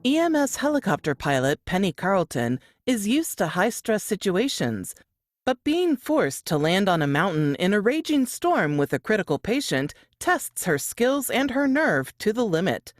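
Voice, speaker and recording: A woman reading a scripted, dramatic book blurb in a measured, expressive way. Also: mid-range voice, no room sound, clean studio sound.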